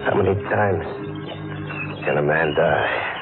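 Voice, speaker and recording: A man's voice: extremely low pitch, slow pace, full character voice. An actor playing a wounded, fatalistic fugitive killer narrating his crime in a hardboiled 1950s radio thriller style. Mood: weary, fatalistic. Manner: hardboiled, clipped storytelling. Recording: muffled old radio broadcast, loud organ music.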